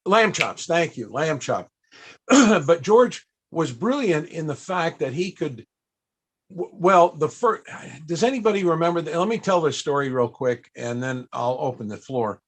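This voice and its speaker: A man hosting a panel, telling stories conversationally to an audience, with halting, searching pauses. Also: a little high, animated, slightly rough. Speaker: panel host and interviewer.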